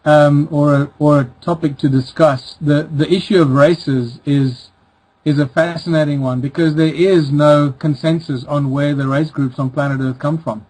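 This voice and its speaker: A man's voice: fairly high pitch, steady tone, normal speed, darkish tone, breathy edge, rough recording. A middle-aged man from the Czech Republic, raised in South Africa, speaking as an interview guest in a rambling way with many restarts. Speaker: guest author. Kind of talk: casual interview talk.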